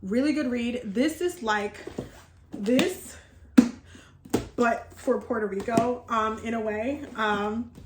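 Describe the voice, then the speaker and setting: A woman's voice: on the high side, takes it slowly, pauses often, very noisy. Woman, from the Dominican Republic, healing teacher, casual chat to camera, upbeat, filler-heavy, echoey room. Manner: chatty and upbeat, with frequent fillers and laughs. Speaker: herbalism and energy healing teacher. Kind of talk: casual video chat to viewers.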